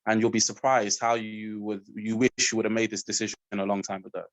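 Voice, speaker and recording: A man talking as a student guest on a webinar, relaxed and rambling with lots of fillers, over a video call. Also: lowish voice, brisk, no echo, low-quality recording. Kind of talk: casual chat.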